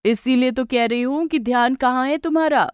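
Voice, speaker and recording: A woman's voice: sits high, brisk, smooth, no echo, pitched above the performer's own voice, lightly acted. A woman voicing a friendly older neighbour in a moral story, chatty, proud and teasing in a familiar way. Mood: chiding.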